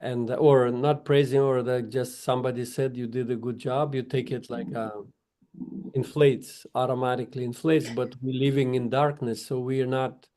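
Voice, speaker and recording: A man's voice: medium pitch, level tone, moderate pace, warm tone. A man from Moldova, a guest in a casual conversation, speaking hesitantly and searching for words.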